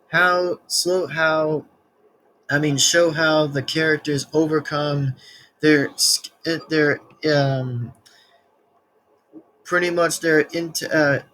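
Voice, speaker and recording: A man's voice: on the high side, bright, forceful tone, low-quality recording. A man in his early twenties hosting a reaction video, rambling and stumbling through restarts as he reads text aloud. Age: 20s. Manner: rambling, many restarts and stumbles while reading. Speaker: reaction channel host.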